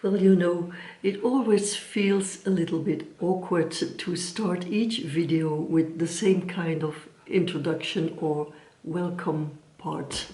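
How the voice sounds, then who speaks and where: Flemish-accented woman, non-native English, gentle craft-vlog host, lowish voice, roomy echo. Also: fairly even intonation. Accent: Dutch-speaking Belgian. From Belgium. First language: Dutch. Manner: gentle, searching for words, unhurried. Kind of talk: casual chat to camera.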